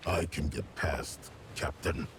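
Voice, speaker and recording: Very deep gravelly fish-man monster. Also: full character voice. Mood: grim, ominous. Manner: slow, deadpan, menacing. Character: menacing male fish-man sea creature, dry and taciturn. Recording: noisy ambient background.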